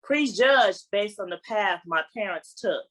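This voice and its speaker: A woman's voice: mid-range voice, animated, measured pace, a bit bright, low-quality recording. A woman reading her own poem aloud as a spoken-word recital. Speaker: poet reading own work.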